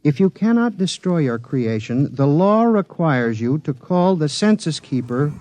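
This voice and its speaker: An American actor playing a flustered, lovelorn lawyer with a hesitant, distracted manner in a 1950s radio drama. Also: male voice, a little high, sing-song, a little slow, darkish tone, dry recording. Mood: reading intently.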